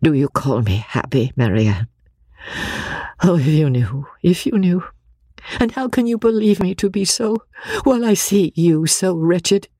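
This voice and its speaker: A woman reading a classic novel aloud, playing a caring, sensible young woman who speaks in an earnest, measured, gently urging way. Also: fairly low pitch, expressive, no echo, studio quality, pitched above the performer's own voice. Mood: sorrowful.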